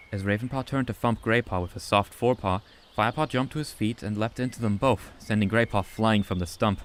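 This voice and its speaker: Low-voiced man narrating an audiobook. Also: slight background noise. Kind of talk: scripted story narration.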